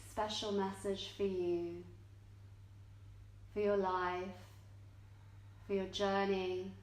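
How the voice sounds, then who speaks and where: Female meditation guide, unhurried and gentle, mid pitch, echoey studio space. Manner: gentle, measured, short phrases. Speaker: yoga nidra teacher. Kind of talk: guided meditation, slow soothing instruction with long pauses. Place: indoor yoga studio, live class. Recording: roomy, echoing yoga studio.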